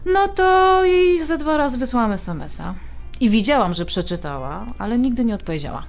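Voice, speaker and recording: A woman's voice: on the high side, big pitch swings, moderate pace, soft background music. A woman playing an outspoken adult daughter in a naturalistic audio drama, bantering with her mother in a conversational, emphatic way. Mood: recounting, wounded.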